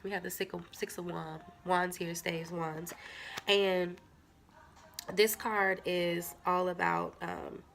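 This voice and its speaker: A woman's voice: lowish voice, level tone, slow, creaky, fairly bright tone. A woman with a stuffy nose, chatting casually to viewers as she reads tarot cards. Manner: chatty, filler-heavy, talks straight to viewers. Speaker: tarot reader. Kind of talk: casual tarot reading to camera.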